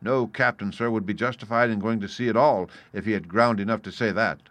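A man voicing a blunt, stern ship's captain in an audiobook, clipped and forceful in his plain speaking. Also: fairly low pitch, fairly quick, no echo, natural voice. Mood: earnest, forceful. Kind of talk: audiobook character voice.

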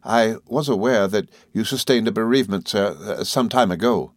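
A meek little country doctor from a storybook, as a male reader puts him on, slow and hesitant, apologizing with every phrase. His voice is lifted a little above a man's lowish speaking tone, soft and deferential. It sits close and dry, with no room around it. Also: no room sound, lightly acted. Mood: meek, fluttered.

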